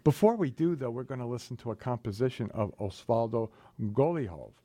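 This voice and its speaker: A man's voice: mid-range voice, animated, a little fast, dark tone, no room sound. A man hosting a radio interview, friendly and enthusiastic with his guest. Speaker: radio host.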